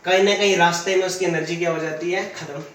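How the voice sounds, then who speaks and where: Hoarse man teaching physics, high voice. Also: fairly even intonation, fast talker, a bit bright, reverberant, recorded from a distance, slight background noise. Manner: asks questions and answers them himself; uses everyday stories as examples. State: sore throat. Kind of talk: classroom lecture.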